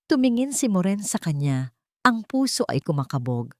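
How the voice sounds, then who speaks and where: A woman's voice: mid-range voice, big pitch swings, normal speed, dry recording, clean studio sound. A woman narrating a scripted dramatic audiobook story.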